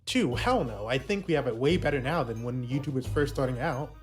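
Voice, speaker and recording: A young man narrates a casual, scripted video essay with music quietly underneath. His pitch sits in the middle range for a man. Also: animated, a little fast. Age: young adult. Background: faint music.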